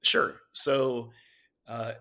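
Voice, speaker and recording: A man in his thirties from Maryland and Virginia, a Navy pilot, telling his background as an interview guest in a relaxed way with many fillers and restarts. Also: mid-range voice, extremely slow. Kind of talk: casual interview talk.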